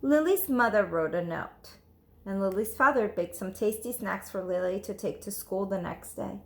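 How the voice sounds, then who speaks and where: A woman teacher reading a children's story aloud, expressive and emphatic, speaking to young listeners. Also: medium pitch, moderate pace. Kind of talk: animated storybook narration. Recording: echoey room.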